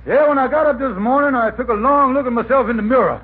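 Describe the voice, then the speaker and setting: An actor playing a blustering, scheming middle-aged husband with grandiose, folksy speech in an old-time radio comedy. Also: male voice, extremely high pitch, fast, breathy edge, heavily acted. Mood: self-pitying. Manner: grandiose, folksy nonstandard grammar. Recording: tinny, noisy old radio recording.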